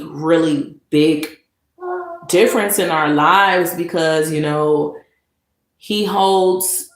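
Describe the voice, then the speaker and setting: A woman vlogger delivering a sarcastic monologue of mock praise, with drawn-out emphasis. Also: on the low side. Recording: echoey room with noise.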